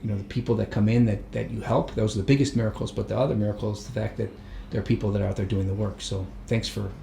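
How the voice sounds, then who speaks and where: A man interviewing volunteers at a charity kitchen, rambling and halting through his questions with many restarts. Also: on the low side, fairly even intonation, vocal fry, mellow, slight background noise. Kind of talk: casual on-site interview. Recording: echoey room with faint ambience.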